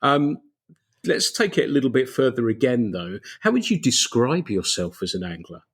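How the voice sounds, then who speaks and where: A man's voice: mid-range voice, very animated, normal speed, husky. A man hosting a casual podcast interview, chatting in a friendly way and leading with open questions. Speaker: podcast host.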